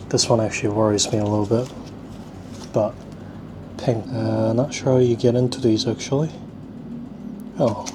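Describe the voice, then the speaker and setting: A man's voice: medium pitch, a little mellow. A man hosting a casual food-tasting vlog, rambling and thinking aloud in a self-deprecating way. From South Korea, at least partly. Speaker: vlog host. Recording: clearly noisy ambient background. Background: ambient noise.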